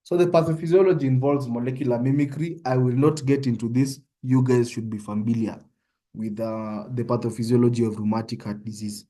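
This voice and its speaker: A man's voice: medium pitch, fairly even intonation, a little fast, dark tone. A young Kenyan man with a Kenyan accent, giving an informal online medical tutorial and often checking in with his listeners. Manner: conversational, often checks in with "yeah?".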